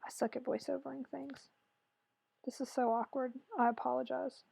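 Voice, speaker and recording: A woman with a hoarse, raspy voice chatting through a makeup tutorial voice-over, rambling and correcting herself as she goes. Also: high voice, flat delivery, moderate pace, mellow, dry recording, rough recording. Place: voice-over recorded separately from the video.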